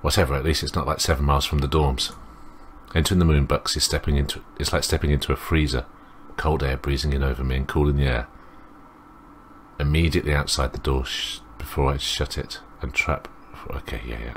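A man's voice: very deep voice, fairly even intonation, a little fast, slight background noise. A man reading a visual novel aloud as a let's play host, rambling and interrupting himself with commentary.

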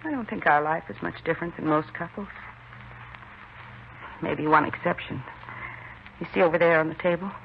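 Subdued middle-aged widow, 1950s radio crime drama; gravelly tone, faint hiss. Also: female voice, low voice, normal speed, long pauses. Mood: wistful, resigned. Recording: muffled, hissy 1950s radio recording.

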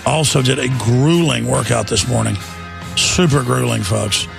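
A middle-aged man hosting a talk radio show, hoarse after an illness, talking in a relaxed, rambling way and repeating words as he reads ads and news. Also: medium pitch, moderate pace, music in the background. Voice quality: gravelly, raspy. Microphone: studio microphone.

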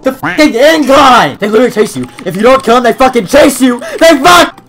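A young man playing a video game on webcam, swearing and shouting in frustration, his voice growing hoarse. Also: extremely high pitch, sing-song, fairly quick, soft background music. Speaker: gaming YouTuber. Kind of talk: webcam gameplay commentary. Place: small room at a desk. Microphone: desk mic beside keyboard, wearing headset.

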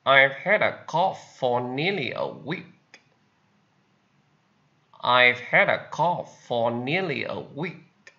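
A man with a Vietnamese accent, a native Vietnamese speaker, teaching English vocabulary and repeating each example sentence slowly. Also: medium pitch, sing-song, sharp tone, highs cut off. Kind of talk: slow vocabulary lesson with repetition.

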